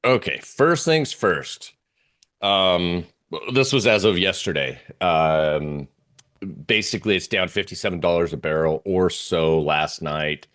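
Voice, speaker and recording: Very deep-voiced man, casual and hesitant. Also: measured pace, a bit bright. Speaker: interview guest, oil trader. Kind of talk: casual chart presentation.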